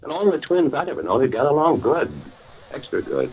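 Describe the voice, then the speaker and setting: A man's voice: fairly high pitch, very animated, fairly quick, a little mellow, poor audio. An actor playing a bitter, gloating murderer confiding his crime in hardboiled slang, in 1940s radio drama style. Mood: bitter resentment. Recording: old radio recording with music behind.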